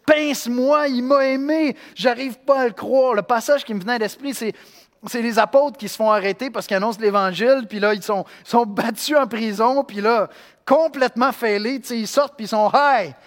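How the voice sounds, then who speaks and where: A man from Quebec with a Québécois accent, preaching a sermon in an animated way, acting out voices and swinging between loud and hushed. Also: extremely high pitch, normal speed, big-room sound. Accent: Québécois French. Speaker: pastor.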